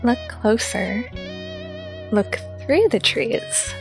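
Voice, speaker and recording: A woman's voice: fairly high pitch, sing-song, extremely slow, creaky, music in the background, full character voice. A woman playing a bossy, dryly teasing teenage girl in an audio drama. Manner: dry, teasing, directive.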